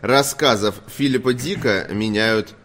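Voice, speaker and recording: A man's voice: on the low side, measured pace, a bit bright. A man hosting a games news stream, talking casually in a slangy, opinionated, rambling way. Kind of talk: casual live stream chat.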